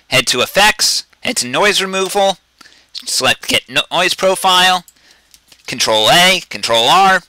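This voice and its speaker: A man with a loud voice casually talking viewers through a software tutorial over a screen recording, blunt and rambling, often restarting his sentences. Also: fairly high pitch, dry recording, poor audio. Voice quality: self-described loud voice. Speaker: video tutorial host.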